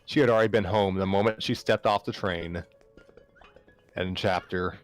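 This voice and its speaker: A man's voice: sits low, steady tone, normal speed, soft background music. A man reading prose narration aloud as an amateur story reader.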